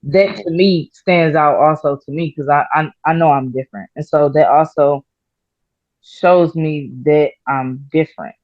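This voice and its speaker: A woman rapper answering interview questions in a halting, soft-spoken way, with many fillers and pauses. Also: low-pitched, no echo. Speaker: rapper guest. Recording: slightly dull, muffled audio.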